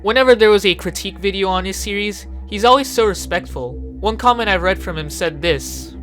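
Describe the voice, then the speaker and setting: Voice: medium pitch, brisk, a bit bright. A narrator giving an informal, opinionated video essay commentary and swearing freely. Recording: music playing behind the voice. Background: background music.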